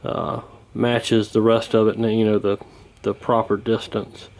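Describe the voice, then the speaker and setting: A man giving a casual hands-on repair walkthrough, talking in an unhurried, folksy way while he works. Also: lowish voice, level tone, gravelly, darkish tone, slight background noise. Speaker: host of a radio repair channel.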